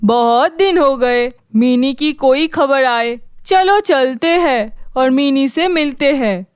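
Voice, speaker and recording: A woman as a high-voiced, kindly cartoon goddess. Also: slow pace, no room sound, studio quality. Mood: musing. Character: kindly goddess, benevolent granter of wishes.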